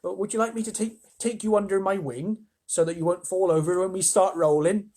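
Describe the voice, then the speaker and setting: A reader voicing a gentle, motherly female ladybird in a storybook reading, with a husky tone. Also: medium pitch, a little fast, pitched above the performer's own voice, natural voice. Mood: explaining. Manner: gentle, reassuring.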